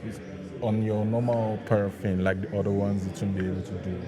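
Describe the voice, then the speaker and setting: A man, in Yoruba, explains his small business casually. His delivery is flat and mild, a little hesitant, with loud music playing behind him. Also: fairly low pitch, a little fast. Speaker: small business owner, interview guest.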